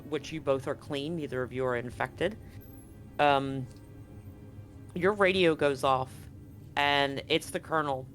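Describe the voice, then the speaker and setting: A man's voice: a little high, a bit bright. A man narrating a live tabletop role-playing game as game master, explaining things conversationally. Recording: music playing behind the voice. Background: music.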